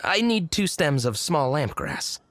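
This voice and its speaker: A man's voice: fairly high pitch, very animated, measured pace, fairly bright tone. A man voicing a sheepish, apologetic town drunkard in a video game, hesitant and bumbling. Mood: earnest.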